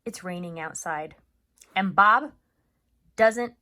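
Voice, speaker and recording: Woman, elementary teacher, bright forceful voice, mid pitch, chatty, expressive, lesson and read-aloud for kids. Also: slow. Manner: chatty, addresses children as friends, expressive. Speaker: third grade teacher. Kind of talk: upbeat video lesson and read-aloud for children.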